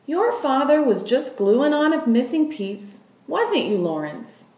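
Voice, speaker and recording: A gentle, timid old farm wife from a storybook, voiced by a female reader, with a high, trembling voice and homespun grammar. It sounds slow and a little echoey. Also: very animated, full character voice. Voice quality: tremulous, shaky. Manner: folksy, ungrammatical rural speech. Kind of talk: children's storybook character voice. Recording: echoey room.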